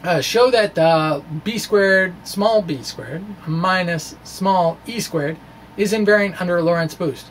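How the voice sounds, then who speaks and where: A middle-aged American man giving an informal physics lecture to camera in a small room, explaining haltingly with trailing asides into a microphone on a stand. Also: high-pitched, slight background noise, poor audio. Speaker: physics video blogger. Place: small indoor room with bookshelves. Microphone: microphone on a stand close in front.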